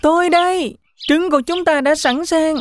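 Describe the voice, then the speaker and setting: A woman's voice: sits high, fairly even intonation, normal speed, noisy background, natural voice. A woman voicing an earnest, determined young goat in a children's cartoon. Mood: eager, determined.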